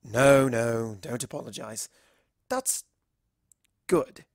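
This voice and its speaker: A man's voice: mid-range voice, slow, dry recording, clean studio sound, pitched below the performer's own voice. A man voicing an eccentric, dramatic male pony doctor in a storybook reading, with an earnest theatrical manner. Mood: awkward, subdued. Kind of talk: storybook character dialogue.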